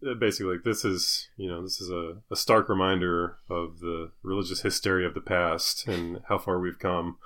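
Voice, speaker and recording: A man's voice: sits low, fairly even intonation, normal speed, hardly any pauses, bright, forceful tone. A man co-hosting a comedy podcast, bantering casually and reading satirical news jokes in a self-deprecating way, often laughing through his own lines. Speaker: podcast co-host and joke writer.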